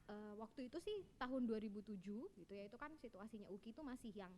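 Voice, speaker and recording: A woman's voice: mid-range voice, expressive, fast talker, a little mellow, slight background noise. A woman in her thirties from East Java, chatting and joking as a guest on a podcast. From East Java, Indonesia. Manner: chatty, joking, laughs often. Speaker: vice dean guest. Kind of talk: casual podcast chat.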